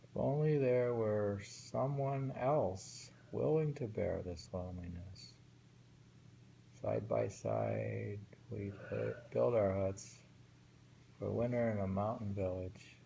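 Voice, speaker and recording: A man's voice: on the low side, expressive, takes it slowly, creaky, mellow. A man casually reading translated poems aloud and musing about them, hesitant and thinking aloud. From New York. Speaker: poetry reader. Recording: faint background noise.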